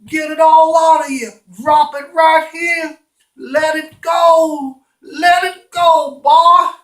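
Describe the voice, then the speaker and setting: A storyteller voicing a doting, insistent man walking his dog, with drawn-out, coaxing urging. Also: high voice, steady tone, fairly bright tone, pitched above the performer's own voice. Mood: urgent coaxing. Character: doting, insistent male dog walker, average size. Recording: roomy, echoing room sound.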